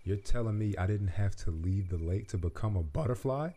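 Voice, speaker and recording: A man's voice: on the low side, animated, brisk, dark tone. A man voicing a young, earnest butterfly who talks in an unsure, wide-eyed way, raised above his usual voice. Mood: astonished.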